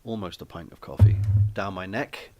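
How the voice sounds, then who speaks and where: Man, low-pitched, rambling live-stream chat, frequent ums and restarts, home setting. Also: normal speed, a little mellow, faint music behind. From Wales. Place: small flat at home.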